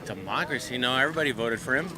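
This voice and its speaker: A man's voice: medium pitch, expressive, rapid-fire, crowd noise. A man giving his opinion casually in a street interview. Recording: outdoor street noise with passing cars.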